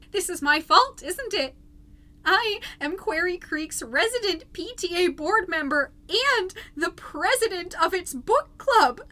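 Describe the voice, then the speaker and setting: A woman plays an anxious mother hosting a podcast in an audio drama. Her voice is very high and bright. She talks slowly in flustered, halting bursts, full of apology. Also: full character voice. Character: anxious, apologetic mom podcaster slipping into eerie trances. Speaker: fictional podcast host.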